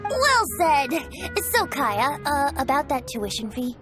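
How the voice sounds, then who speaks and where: A woman's voice: high-pitched, very animated, slow pace, heavily acted. A woman voicing a cheerful, youthful bard in a video game, breezy, playful and a little theatrical. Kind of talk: English video game voice acting. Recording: music playing behind the voice.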